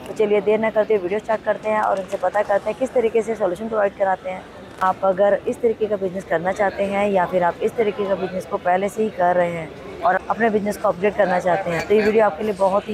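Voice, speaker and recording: A woman in her thirties hosting a vlog, chatty and promotional, asking short questions in a busy exhibition hall. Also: mid-range voice. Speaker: vlogger and interviewer. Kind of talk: vlog intro and interview. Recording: crowd noise in a busy hall. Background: crowd chatter.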